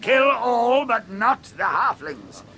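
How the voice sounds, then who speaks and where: An actor playing a brutal, bullying orc captain who barks orders in a radio drama. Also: male voice, very high voice, sing-song, slow pace, vocal fry, full character voice, poor audio. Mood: menacing command. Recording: clamouring crowd behind.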